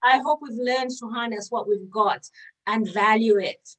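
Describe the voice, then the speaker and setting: A woman's voice: a little high, normal speed, a bit bright. A woman hosting an interview, asking questions in a conversational style. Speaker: interviewer, host. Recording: distant from the microphone.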